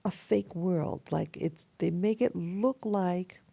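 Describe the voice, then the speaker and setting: An American woman in her late fifties records a casual, reflective spoken journal. Her voice is hoarse and mellow, fresh from sleep. She talks haltingly and very unhurriedly, in a dry, close sound. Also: lowish voice, no echo. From Queens, New York City, USA. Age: 50s, almost sixty. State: just woken up, hoarse. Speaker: vlogger. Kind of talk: casual personal dream journal.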